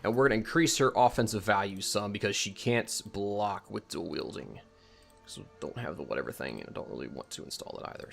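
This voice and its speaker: A man's voice: on the low side, vocal fry, fairly bright tone. Casual, rambling man giving a game tutorial. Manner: informal, rambling, hedging and self-correcting. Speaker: video host and mod creator demonstrating a game mod.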